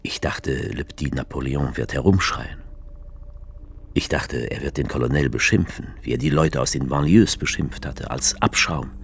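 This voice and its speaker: Very deep-voiced male narrator. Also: moderate pace, creaky, slight background noise. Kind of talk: radio play narration.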